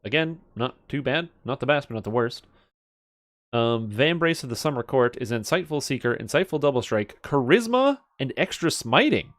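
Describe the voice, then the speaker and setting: A man's voice: mid-range voice, sing-song, moderate pace, a bit bright. A man giving casual live game stream commentary, thinking aloud as he mutters through details and swears now and then. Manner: muttering through item stats, profane.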